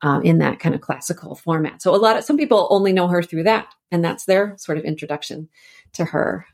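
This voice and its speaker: Female expert guest, lowish voice, informal and explanatory, loosely strung conversational sentences. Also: fairly quick, creaky, a little mellow. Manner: explanatory, informal, meandering phrasing. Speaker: scholar and author, podcast guest.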